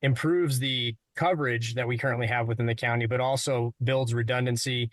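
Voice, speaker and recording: A man's voice: mid-range voice, fairly even intonation, fairly quick, no room sound. An American man, a public safety official, explaining things off the cuff in an interview.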